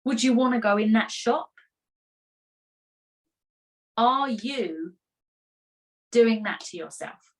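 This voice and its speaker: A woman's voice: fairly high pitch, expressive, slow, long pauses. Woman career coach, peppy and encouraging, chatty, lots of fillers. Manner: motivational, rhetorical questions. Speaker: musical theatre career coach. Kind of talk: live online masterclass, reading chat comments.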